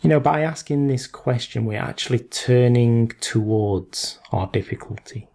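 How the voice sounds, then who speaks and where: A man leading a guided meditation in gentle instruction, unhurried, leaving long pauses between short phrases. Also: mid-range voice, vocal fry.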